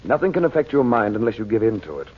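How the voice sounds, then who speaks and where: An actor playing a calm, firm magician in an old radio drama, reassuring his frightened sister. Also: fairly low pitch, fast talker, slightly rough. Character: steady protective brother, a magician. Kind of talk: 1940s radio acting. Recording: tinny old radio transcription.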